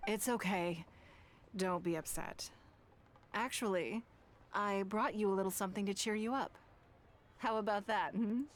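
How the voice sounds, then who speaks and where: An actress playing a composed, authoritative lady in a video game, speaking in a measured, articulate way. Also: mid-range voice, a little hiss or hum, close to an everyday voice. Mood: gentle, reassuring. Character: poised, composed adult lady of authority, kindly but stern.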